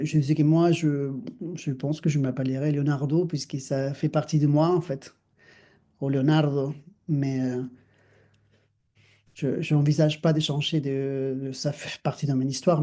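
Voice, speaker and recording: A middle-aged man from Argentina with an Argentine accent, speaking thoughtfully as an interview guest, with many restarts and soft laughs. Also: fairly high pitch, little pitch movement, normal speed. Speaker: guest, dancer and actor. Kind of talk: reflective interview conversation.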